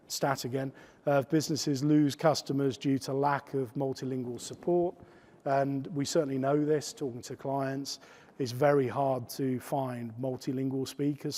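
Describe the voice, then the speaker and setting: A man's voice: mid-range voice, creaky, a little mellow. A man giving a business conference talk as a company chief executive, hesitant with many fillers and restarts. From United Kingdom. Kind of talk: conference presentation.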